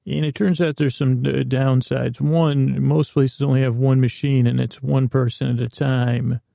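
A man hosting a podcast, delivering a meandering comic monologue with a gravelly voice, often interrupting himself and trailing off mid-thought. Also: mid-range voice, flat delivery, measured pace, mellow, dry recording, studio quality. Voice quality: gravelly, creaky. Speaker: podcast host.

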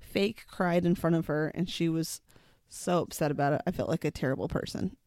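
A woman's voice: sits low, a little fast, darkish tone, no echo, studio quality. A woman co-hosting a podcast in casual chat, with a slightly congested sound. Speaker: podcast co-host.

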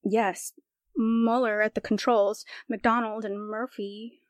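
A woman's voice: medium pitch, little pitch movement, slow pace, no room sound, clean studio sound, close to an everyday voice. A woman playing an efficient, polite and concerned radio station assistant in a 1940s-style radio drama.

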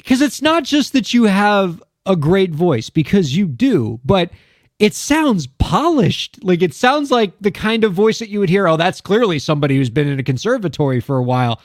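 A man hosts a radio interview show and speaks with gushing enthusiasm. His pitch sits a little high and swings widely. The sound is dry and close, with no room echo. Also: moderate pace. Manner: enthusiastic, animated and effusive. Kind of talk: casual interview chat.

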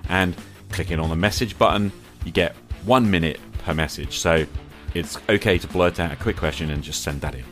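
Very deep-voiced man hosting a podcast. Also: fairly quick, music in the background. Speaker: podcast host. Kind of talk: conversational podcast monologue.

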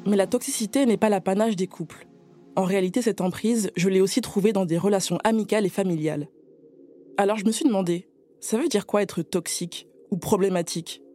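A woman journalist narrating a personal, scripted account in a calm storytelling manner. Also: mid-range voice.

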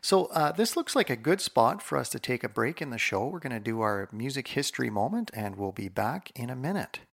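A man's voice: mid-range voice, very animated, brisk, creaky. A male podcast host asking a musician questions in an encouraging, conversational way. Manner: friendly, encouraging interviewer. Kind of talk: casual interview hosting.

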